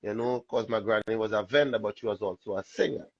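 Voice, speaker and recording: A man with a Trinidadian accent and a raspy voice, telling animated stories as a guest in a casual interview. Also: on the low side, quick pace, highs cut off, crowd noise. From Trinidad and Tobago. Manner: animated storytelling, laughs often. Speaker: soca artist guest.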